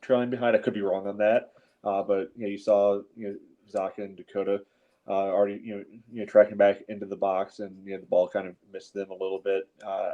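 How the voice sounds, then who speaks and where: Male sports panelist, lowish and monotone, halting conversational delivery, slightly dull recording. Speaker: podcast panelist. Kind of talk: casual sports analysis. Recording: slightly dull, lo-fi call audio.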